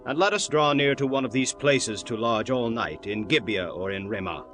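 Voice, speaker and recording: A man acts out a courteous, resolute traveller in a scripture drama. The voice is medium in pitch and bright, stating old-fashioned lines earnestly over a faint music bed. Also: lively intonation, moderate pace, natural voice. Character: resolute, courteous travelling master in biblical times.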